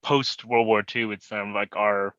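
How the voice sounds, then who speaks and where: A man hosting a podcast, talking in a relaxed, loose, conversational way. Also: lowish voice, unhurried, dry recording. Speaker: podcast host. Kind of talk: casual conversational interview. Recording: dull, dry lo-fi recording.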